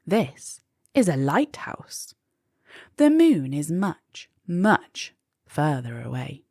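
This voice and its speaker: A woman doing a slow, wise old crab. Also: deep voice, big pitch swings, warm tone, husky, no echo, studio quality, full character voice. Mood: kindly, encouraging. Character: wise old male crab, kindly, chuckling, encouraging fisher.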